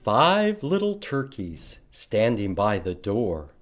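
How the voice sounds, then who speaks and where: A man's voice: fairly low pitch, wildly swooping pitch, takes it slowly. A man hosting a library storytime for young children, reading aloud in an exaggerated, sing-song way and prompting with questions. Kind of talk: children's storytime read-aloud with counting songs.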